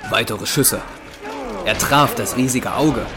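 A man's voice: medium pitch, slow pace. A man reading scripted narration for an audio drama. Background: music.

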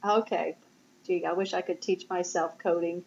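A woman's voice: fairly low pitch, steady tone, normal speed, vocal fry, a little mellow. A woman hosting an interview show, asking questions in a conversational way. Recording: roomy background noise, dull lo-fi sound.

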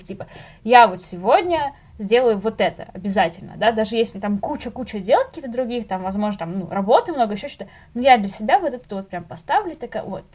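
A young woman chatting casually as a podcast guest, telling things in slangy, animated speech with the odd English word. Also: a little high. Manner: animated storyteller, acts out little inner dialogues; slangy, drops in English words.

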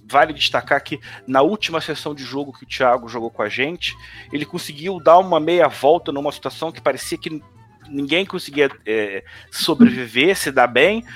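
A man's voice: mid-range voice, fairly bright tone. A man with a Northeastern Brazilian accent, a tabletop game designer chatting casually and rambling with many restarts. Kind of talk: casual conversation on a live stream.

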